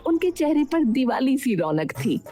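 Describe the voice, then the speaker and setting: A woman's voice: high voice, big pitch swings, normal speed, dark tone. A woman narrating a cartoon moral story from a script. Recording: music behind the voice.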